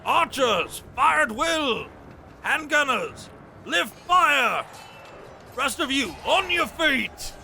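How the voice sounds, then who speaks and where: High gravelly army officer yelling commands, slow and sharp, in an audio drama. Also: male voice, sing-song, a little hiss or hum, full character voice. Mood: commanding. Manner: shouted commands. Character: male officer barking battle orders.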